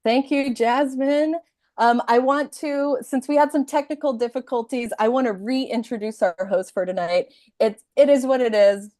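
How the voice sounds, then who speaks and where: A woman's voice: a little high, moderate pace, mellow, no echo, studio quality. A woman organizer thanking supporters and moving an online event along, loose and upbeat. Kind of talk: upbeat event hosting on a call.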